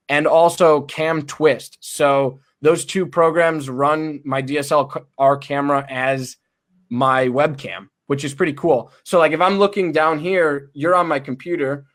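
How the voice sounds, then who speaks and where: Young male guest, booming voice a touch high, eager and rambling, on a home podcast mic. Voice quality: booming loud voice. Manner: enthusiastic, many fillers and restarts. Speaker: chiropractic student guest. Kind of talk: casual interview over video call. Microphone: desktop USB podcast mic.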